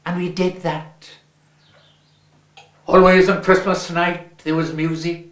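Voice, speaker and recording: A man with a Welsh accent reading a story aloud, measured and lingering on his words. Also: on the high side, little pitch movement, a bit bright. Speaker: reader. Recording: roomy echo.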